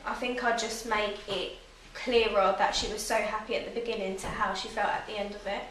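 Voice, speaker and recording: A woman's voice: mid-range voice, fairly even intonation, brisk, a bit bright, slight background noise. Teen female interviewee, short plain answers; heavy echo, distant mic. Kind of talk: casual answers. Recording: very echoey room, far from mic.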